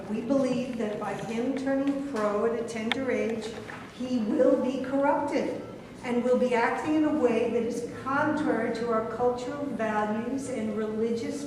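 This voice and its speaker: A woman reads a devout mother who stands her ground. Her voice is fairly high. It sounds distant and echoey, with a hiss behind it. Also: close to an everyday voice. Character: firm, devout mother in a dispute. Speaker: actor in a staged reading. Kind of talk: staged play reading. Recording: echoey hall from far off, background hiss.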